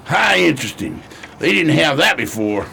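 A man's voice: on the high side, big pitch swings, moderate pace, pushed and bright, exaggerated voice. An actor playing a sly con man who poses as a peaceable Westerner in a 1920s crime radio drama, with a folksy, smug manner. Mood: mild, sly. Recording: clear background noise.

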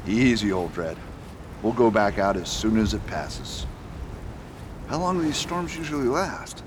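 A man's voice: fairly low pitch, lively intonation, measured pace, noisy background. A man playing a determined US marshal in a radio drama, speaking earnestly and insistently. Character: determined male US marshal, stubborn and dutiful. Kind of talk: amateur radio drama acting.

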